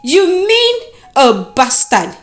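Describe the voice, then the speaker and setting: A woman voicing a resentful, sharp-tongued village woman, indignant and scornful, in a folktale. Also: high-pitched, wildly swooping pitch, takes it slowly, soft background music, pitched above the performer's own voice, full character voice.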